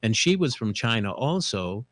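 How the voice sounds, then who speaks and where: A man hosts a talk show interview in an easy, conversational way, slipping into stories from his own life. His voice sits at a middle pitch and is recorded close and dry, with no room sound. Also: big pitch swings, normal speed, fairly bright tone, no room sound. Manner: conversational, storytelling. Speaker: host.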